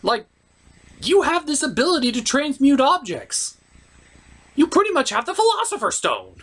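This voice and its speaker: A woman's voice: sits high, very animated, moderate pace, fairly bright tone. A woman giving a comedic, sardonic movie recap with exclamatory delivery. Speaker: puppet show reviewer. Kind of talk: comedic movie recap with acted character voices.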